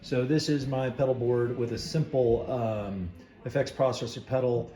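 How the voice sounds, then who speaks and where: A man giving a casual, hesitant hands-on walkthrough of a DIY project, explaining it off the cuff with frequent pauses. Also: medium pitch, steady tone, mellow. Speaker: DIY builder and host.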